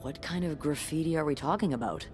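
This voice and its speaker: An actress playing a chatty, gossipy hotel coworker who talks in an animated way. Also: female voice, deep voice, quick pace, faint music behind, lightly acted.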